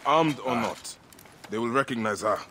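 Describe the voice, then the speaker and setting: A wry warrior. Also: male voice, medium pitch, expressive, normal speed, pushed and bright, a little hiss or hum.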